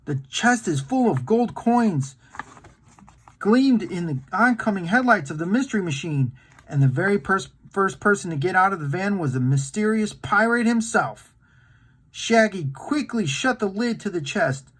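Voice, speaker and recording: Husky, very animated male storybook reader. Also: high voice, normal speed. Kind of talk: animated storytime read-aloud.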